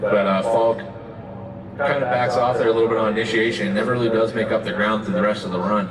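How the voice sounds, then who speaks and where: A man's voice: lowish voice, steady tone, quick pace. A man commentating live on drifting from a track booth, explaining the action in a casual play-by-play style. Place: announcer booth at an outdoor race track. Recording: echoey, distant tower audio, loud crowd and engines. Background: loud crowd and car engines.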